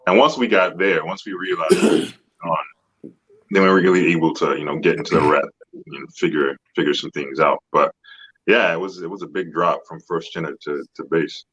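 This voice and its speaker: Deep husky-voiced man. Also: steady tone, normal speed, a bit bright, lo-fi sound. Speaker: opera singer, bass. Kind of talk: casual panel chat. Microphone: video call.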